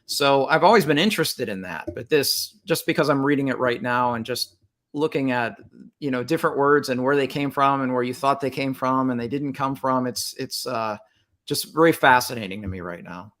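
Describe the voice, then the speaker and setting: Man, music teacher, guest on a book chat, reflective, mid-pitched, thoughtful explanations. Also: normal speed, a bit bright. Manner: reflective, explains at length with small restarts.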